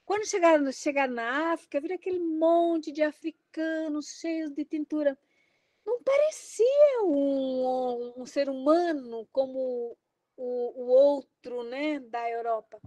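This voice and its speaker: A woman's voice: high-pitched, slow pace, mellow, dry recording. A middle-aged woman with a Brazilian Portuguese accent, giving an impassioned academic talk, emphatic and exclaiming. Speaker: invited lecturer.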